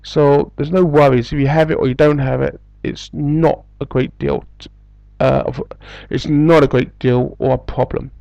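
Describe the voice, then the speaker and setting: A man's voice: mid-range voice, fairly even intonation, normal speed, gravelly, dark tone, dry recording, muffled recording. A man from England with an English accent, giving rambling, casual advice about teaching jobs, full of fillers and restarts. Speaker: English school recruiter.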